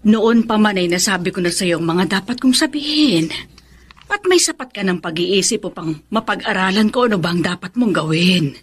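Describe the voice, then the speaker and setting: A woman's voice: medium pitch, expressive, normal speed, husky. An actress playing a sober, regretful middle-aged mother in a Filipino radio drama, with a Filipino accent. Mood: grave, advising.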